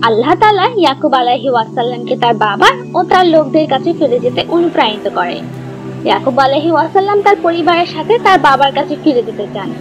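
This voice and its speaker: A woman narrating a scripted children's story in a clear, instructive manner. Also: high voice, lively intonation, fast talker, muffled recording. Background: background music.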